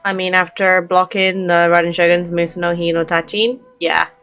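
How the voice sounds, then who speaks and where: Woman gamer, medium-low voice, casual commentary. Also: level tone, normal speed, fairly bright tone, soft background music. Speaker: gaming video host. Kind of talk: casual playthrough commentary, reading dialogue choices aloud.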